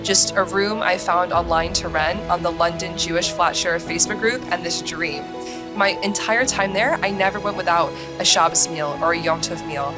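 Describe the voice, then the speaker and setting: A woman's voice: on the low side, fairly even intonation, moderate pace, over music. A young American woman speaking as a podcast guest, telling her personal story in conversation. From United States, grew up moving between countries. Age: young adult.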